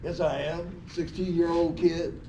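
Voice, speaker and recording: A man reading the part of a visually impaired sixteen-year-old boy in an amateur comedy play, with halting, deliberate delivery. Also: on the high side, flat delivery, mellow, highs cut off, lightly acted. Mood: matter-of-fact. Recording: noisy, echoey room recording.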